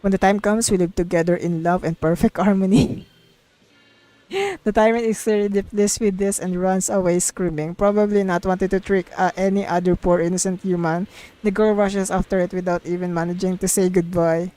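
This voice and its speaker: A woman's voice: lowish voice, steady tone, moderate pace, warm tone, low-quality recording. A woman streaming a story game, casually reading the dialogue aloud and reacting with giggly, sweary exclamations. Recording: music playing behind the voice.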